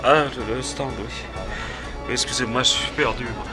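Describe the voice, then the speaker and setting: An actor playing an excitable, grieving fairground barker in a French radio detective serial, theatrical and halting. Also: male voice, medium pitch, music in the background, lightly acted. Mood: grief-stricken. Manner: theatrical, halting and exclamatory when upset.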